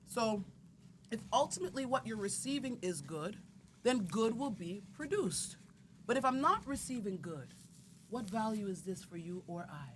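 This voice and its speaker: A woman's voice: mid-range voice, sing-song, normal speed. Blunt woman, frank podcast guest, faint noise behind. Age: 30s. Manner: blunt and outspoken. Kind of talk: casual podcast chat.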